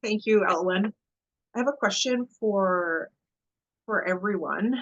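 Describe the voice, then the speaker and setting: A woman moderating a virtual panel, posing questions in a measured way with small restarts. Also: medium pitch, lo-fi sound. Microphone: video call.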